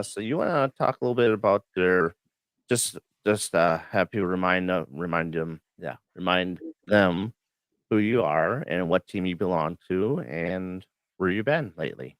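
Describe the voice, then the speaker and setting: A man hosting a casual livestream chat, a bit hoarse and froggy from the flu, talking in a relaxed, rambling way with many fillers. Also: sits low, unhurried, no echo. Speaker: host. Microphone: studio microphone.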